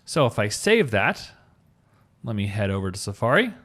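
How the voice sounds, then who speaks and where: Man teaching, very lively pitch. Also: normal speed. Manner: informal, thinking aloud. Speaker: web coding instructor. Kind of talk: screencast tutorial, talking through steps.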